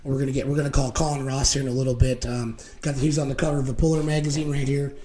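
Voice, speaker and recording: A male show host talks very quickly in a casual, chatty way. His voice sits at a medium pitch and carries a slight hoarseness. The delivery is loose and informal, like live talk between co-hosts. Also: flat delivery, mellow.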